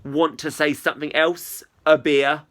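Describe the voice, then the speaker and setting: A man's voice: fairly high pitch, flat delivery, unhurried, fairly bright tone. A man voicing a sincere, shy young schoolgirl in a children's storybook, earnest with playful bursts. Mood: upset. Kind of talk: children's storybook character dialogue.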